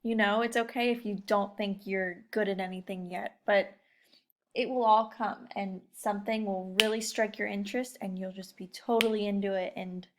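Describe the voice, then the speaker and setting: Woman, elementary teacher, medium pitch; casual, encouraging lesson talk aimed straight at kids. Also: fairly even intonation, moderate pace, slight background noise. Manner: encouraging, addresses children directly with questions. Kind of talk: casual read-aloud lesson talk to young pupils.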